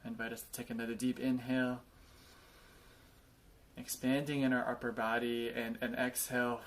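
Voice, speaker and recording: A man leading a guided body scan meditation, speaking in gentle invitations with frequent pauses. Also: mid-range voice, little pitch movement, a little hiss or hum.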